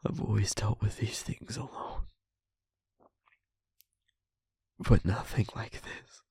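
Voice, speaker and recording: An actor performs an anguished survivor in an audio drama, full of self-blame and apology. The voice is husky and gravelly, recorded very dry. The delivery is halting, raw and confessional. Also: medium pitch, lively intonation, dry recording, heavily acted. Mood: hushed, vulnerable. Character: anguished, self-blaming, angry and guilt-ridden survivor, apologetic. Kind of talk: emotional audio drama monologue.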